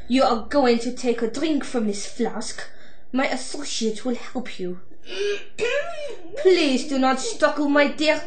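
A woman's voice: on the high side, roomy sound, a little hiss or hum. A woman playing a coolly gloating female doctor villain in an amateur audio drama, speaking with formal, mock-polite theatricality.

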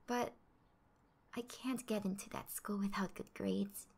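Soft, breathy sporty schoolgirl played by a woman. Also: medium pitch, steady tone, measured pace. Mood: warm, earnest. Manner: soft, half-whispered, casual. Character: friendly sporty schoolgirl confiding in her crush. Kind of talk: ASMR roleplay.

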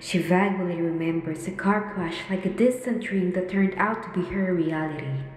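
Lowish woman narrator in echoey space. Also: moderate pace, a little mellow. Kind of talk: scripted radio drama narration. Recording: echoey hall, faint noise.

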